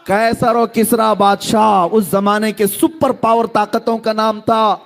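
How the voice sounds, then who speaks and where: A middle-aged man with a notably high voice delivers a sermon as a dramatic story. He drops to soft low passages and then bursts into loud exclamations. He addresses his listeners directly. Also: level tone, soft background music, some chatter behind. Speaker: preacher.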